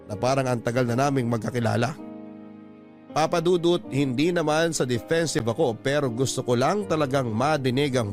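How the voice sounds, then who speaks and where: A man giving scripted first-person narration as a radio drama host reading out a letter. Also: medium pitch. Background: background music.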